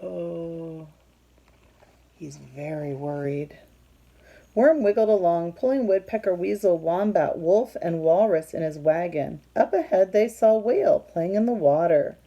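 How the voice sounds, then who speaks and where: A woman reading a picture book aloud to young children, expressive and teacherly, pausing to put questions to her listeners. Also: fairly low pitch, muffled recording.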